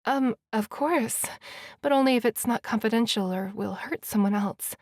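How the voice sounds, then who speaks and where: A woman's voice: on the high side, normal speed, darkish tone, dry recording. A woman voicing a polite, somewhat hesitant young woman in an audiobook.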